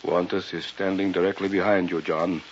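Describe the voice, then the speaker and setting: An actor playing a secretive, authoritative doctor in an old-time radio drama, on a muffled, hissy recording. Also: male voice, low-pitched, level tone, moderate pace. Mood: dismissive. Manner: formal, authoritative, theatrical. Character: secretive doctor, commanding host of an island weekend.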